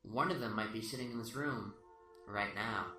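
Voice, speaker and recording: A man's voice: fairly low pitch, moderate pace, lightly acted. A man acting a smug, taunting serial killer who philosophizes without remorse in an amateur radio play. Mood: smug, boastful. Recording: roomy, distant-mic sound under loud music.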